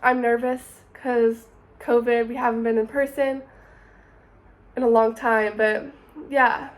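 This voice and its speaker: A woman's voice: on the high side, level tone, takes it slowly. A woman of twenty, narrating her summer in a chatty, upbeat vlog voice-over. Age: 20s. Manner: chatty, upbeat, says "super" often. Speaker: vlogger.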